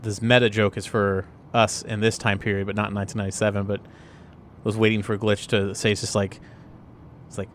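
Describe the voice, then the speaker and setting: A man's voice: fairly low pitch, little pitch movement, fairly quick, slight background noise. A man playing a tabletop role-playing game, chatting informally and jokingly as the players plan together. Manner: informal, jokey. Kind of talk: casual improvised tabletop game chat.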